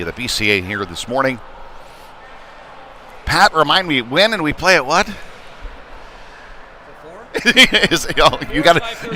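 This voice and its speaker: Male hockey play-by-play commentator, casual and unpolished, average pitch, live from a noisy rink. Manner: casual, unpolished, conversational between plays; excited calls when play heats up. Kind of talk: live sports commentary of a youth ice hockey game. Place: indoor ice rink. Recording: noisy live rink stream, clear background noise. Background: rink ambience and noise.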